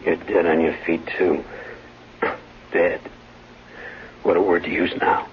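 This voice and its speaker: An actor playing a weary, anguished husband, speaking in a grave, clipped way in a 1970s radio drama. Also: male voice, deep voice, flat delivery, takes it slowly. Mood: weary, distraught. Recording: dull 1970s radio recording, faint noise.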